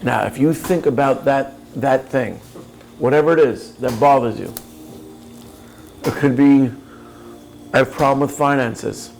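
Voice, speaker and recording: A man's voice: medium pitch, measured pace, vocal fry, long pauses, a little mellow, soft background music, low-quality recording. A man giving a reflective coaching talk, earnest and probing, speaking directly to the listener. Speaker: speaker on personal growth.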